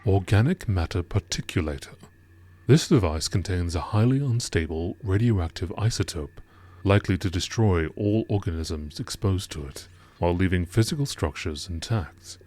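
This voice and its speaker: Male narrator, low pitch, measured formal delivery, documentary-style scripted reading, scientific report tone. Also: lively intonation, darkish tone. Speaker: narrator reading game lore entries.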